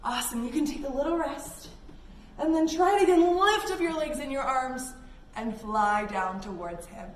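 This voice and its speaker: A woman's voice: high-pitched, expressive, normal speed. A woman from Canada guiding children through yoga poses step by step, with simple, encouraging instructions. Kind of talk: guided kids' yoga class following a story. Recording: echoey room, distant mic, background noise.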